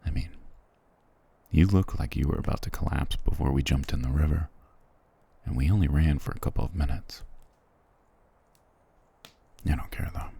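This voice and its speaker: A man playing a cruel, proud, weary soldier prince in an ASMR fantasy roleplay, curt and condescending, with a gravelly, husky voice. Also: a bass voice, dark tone, full character voice. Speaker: voice actor in audio roleplay.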